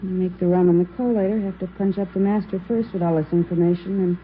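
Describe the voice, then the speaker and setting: Low-voiced, efficient police clerk played by a woman. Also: fairly even intonation, natural voice. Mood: brisk, businesslike. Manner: matter-of-fact, measured. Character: efficient, unhurried female police records clerk. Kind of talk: 1950s radio drama acting. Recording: tinny, faintly noisy old radio recording.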